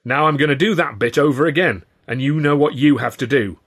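A man's voice: mid-range voice, fairly quick, fairly bright tone, voice pushed up. A man voicing a pompous, self-satisfied and exasperated stage comedian, declaiming and crowing in an audiobook story. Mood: bossy, cross. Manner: bellowing, declamatory, crowing, petulant.

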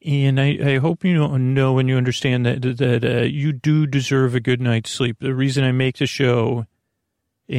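A man with a gravelly, creaky voice, recorded close and dry. He hosts a bedtime podcast, wandering through an unscripted monologue. He is gently reassuring, often halting, trailing off and starting his phrases again. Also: mid-range voice, no echo. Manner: meandering and halting, trailing off and restating himself. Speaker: host of a bedtime sleep podcast. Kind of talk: rambling, improvised bedtime monologue.

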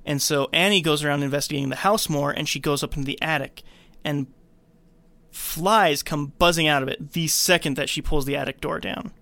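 Man recapping a film, slightly high voice. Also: fairly quick, creaky, bright, forceful tone, no room sound. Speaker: podcast host recapping a film. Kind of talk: casual film recap narration.

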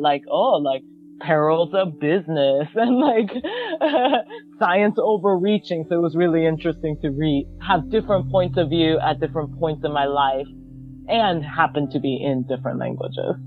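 A woman talking as a guest on a podcast, chatty and quick to laugh, with a lot of filler words. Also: sits low, soft background music. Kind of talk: casual podcast chat. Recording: tinny phone-like line.

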